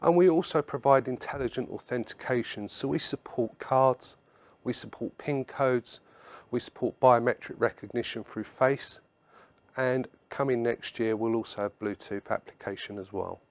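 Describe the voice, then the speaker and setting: A man presenting a product webinar in a measured, instructional way, explaining slides point by point. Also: medium pitch, dry recording, dull audio.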